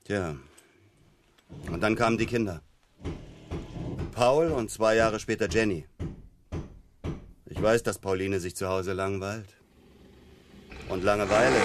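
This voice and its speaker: Condescending deep-voiced psychotherapist. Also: male voice, background noise. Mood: smug, deliberate. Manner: measured, condescending, dryly ironic. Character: self-assured, probing male psychotherapist. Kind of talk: German radio crime drama acting.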